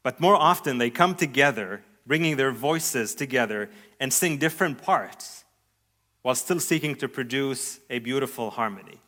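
A man preaching a sermon in an earnest, measured way, his voice rising on key phrases and trailing off softly at the ends of thoughts. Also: medium pitch, animated. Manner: earnest, measured preaching with sweeping rises and soft trailing endings. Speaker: pastor.

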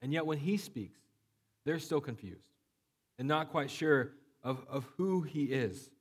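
A man preaching a sermon while he has a cold. His voice is low and flat, and he talks conversationally with many fillers and restarts. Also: a little slow, breathy edge, big-room sound. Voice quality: low, monotone voice. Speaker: pastor.

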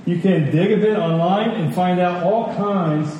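A man's voice: high-pitched, a little slow, mellow, highs cut off. A man preaching a sermon to a congregation, using rhetorical questions and repeated phrases for emphasis. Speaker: pastor preaching. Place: large room at a church gathering. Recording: echoey hall, distant mic, faint noise.

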